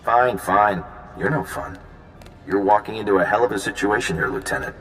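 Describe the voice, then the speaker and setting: An actor playing an easygoing, smooth-talking, flirtatious male intelligence agent in a video game, casual and breezy. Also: fairly low pitch, lively intonation, normal speed, fairly bright tone. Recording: long reverb, faint background noise.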